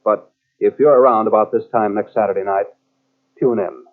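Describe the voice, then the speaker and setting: A man's voice: on the low side, steady tone, normal speed. A man announcing the credits and closing of an old-time radio show in a scripted style. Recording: muffled old radio broadcast tape.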